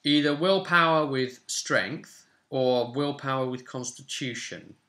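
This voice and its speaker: Young guy thinking out loud, casual explainer, roomy room w bit of noise. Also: medium pitch, measured pace. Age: 20s. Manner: chatty, thinking aloud. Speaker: host of a tabletop roleplay channel. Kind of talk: casual explanatory chat while rolling a game character. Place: small home room, indoors. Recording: roomy echo, background hiss and clicks.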